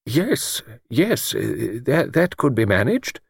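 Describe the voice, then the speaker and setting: A man voicing a mild, absent-minded, courteous old village vicar who speaks gently and hesitantly, repeating himself. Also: mid-range voice, big pitch swings, takes it slowly, gravelly, breathy edge, dry recording, studio quality, exaggerated voice. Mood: gentle, musing. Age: older.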